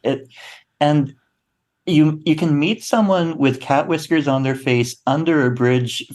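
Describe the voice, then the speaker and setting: A man speaking as an interview guest, telling stories casually with a halting, wry, humorous delivery. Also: fairly high pitch, a little mellow. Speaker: guest playwright and actor.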